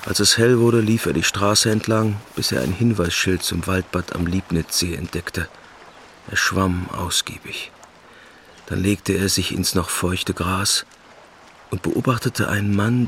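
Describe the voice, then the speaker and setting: A man's voice: sits low, fairly even intonation, measured pace, a bit bright, a little hiss or hum. A man narrating a radio drama in a scripted storytelling style.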